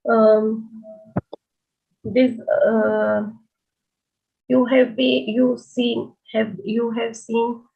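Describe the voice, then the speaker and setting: A woman's voice: on the high side, little pitch movement, very slow, creaky, long pauses, narrow, tinny audio. A woman starting a conference talk, hesitant and stumbling. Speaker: conference presenter. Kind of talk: presenting a talk.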